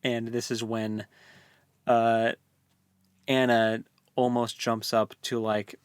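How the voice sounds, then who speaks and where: A man with a mid-pitched voice co-hosts a podcast in a very dry-sounding space. He talks casually about a book, with slangy, loose phrasing. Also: little pitch movement, takes it slowly, clear, resonant tone, dry recording. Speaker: podcast co-host. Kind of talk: casual book discussion chat.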